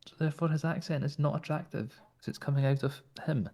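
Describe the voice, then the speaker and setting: A man's voice: a little high, steady tone, fast talker, darkish tone. A man with a Scottish accent talking in character during a roleplay, flirtatious and teasing. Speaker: roleplay player.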